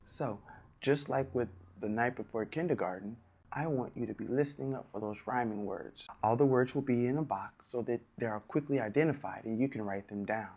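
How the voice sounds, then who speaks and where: A man's voice: medium pitch, a little fast, darkish tone. Male children's read-aloud host, mid-range voice, talking simply and plainly to young listeners. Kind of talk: addressing young children, hosting a story time.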